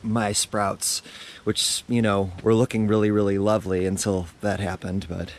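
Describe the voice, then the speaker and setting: A man in his thirties, hoarse after losing his voice, hosting a relaxed garden tour outdoors with a lapel mic, talking casually with lots of fillers. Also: lowish voice, moderate pace. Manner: chatty, relaxed, with many fillers. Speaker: gardening channel host.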